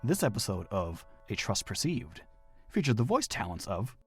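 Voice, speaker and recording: Lowish, expressive male announcer over music. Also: moderate pace. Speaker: writer and producer announcing credits. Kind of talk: scripted credits and outro. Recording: music under the voice.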